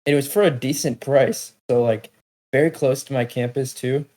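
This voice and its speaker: A man's voice: medium pitch, moderate pace, a little mellow. A young man co-hosting a podcast, telling stories in a laid-back, slangy manner. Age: young adult. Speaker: podcast co-host. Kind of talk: casual chat.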